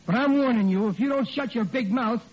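A man's voice: very high-pitched, a little fast, husky. An actor playing a blue-collar family man in 1940s radio comedy, colloquial and defiant, full of sheepish excuses. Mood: defensive, blustering. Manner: colloquial, blustering, makes feeble excuses. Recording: muffled, noisy old radio recording.

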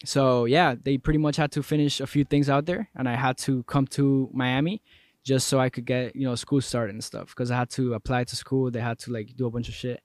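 A man's voice: mid-range voice, little pitch movement, fairly quick, dry recording, studio quality. A young man from Colombia, a native Spanish speaker, chatting casually as a podcast guest in a laid-back, slangy way. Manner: laid-back slangy storytelling with many fillers.

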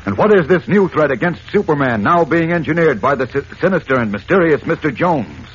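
A man's voice: fairly high pitch, expressive, fairly quick, slightly rough. A man narrating a 1940s radio adventure serial as its announcer, in a dramatic scripted style. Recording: muffled, noisy old radio recording.

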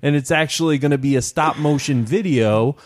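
A man's voice: on the high side, a little fast. A man hosting a movie podcast, chatting casually and rambling, often laughing and restarting his sentences. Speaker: podcast host.

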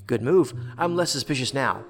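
An actor playing a confident, sardonic federal investigator in a science fiction audio drama, probing and teasing in dry banter. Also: male voice, medium pitch, animated, normal speed, in a large hall, soft background music, natural voice. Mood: wry, teasing.

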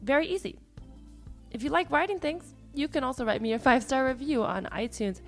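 A woman hosting a podcast in a casual, chatty way, her voice hoarse and partly lost after travel, with many fillers. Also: high voice, animated, brisk, soft background music. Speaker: podcast host.

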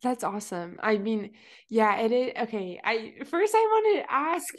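A woman's voice: a little high, big pitch swings, unhurried. A young woman hosting a podcast, chatting casually with lots of fillers and restarts. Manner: chatty, many fillers and restarts, laughs often. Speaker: podcast host. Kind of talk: casual interview chat.

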